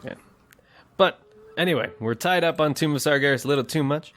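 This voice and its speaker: A man's voice: fairly high pitch, a little fast, fairly bright tone. A man hosting a gaming podcast in casual chat, enthusiastic and jokey, often ready to chuckle. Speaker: podcast host.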